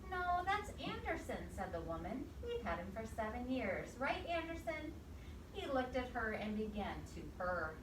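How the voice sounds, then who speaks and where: A woman with a highish voice reads a picture story aloud to young children. She is expressive and stops to put simple questions to them. The sound is echoey and picked up from a distance, with clear noise behind her. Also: normal speed.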